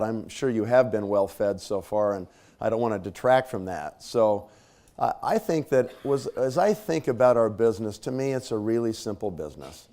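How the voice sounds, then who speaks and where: A man with a slightly scratchy voice, clearing his throat now and then, gives a conversational leadership talk to a live audience. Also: on the low side, mellow. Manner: conversational, casual fillers and tag questions. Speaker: speaker at a leadership training.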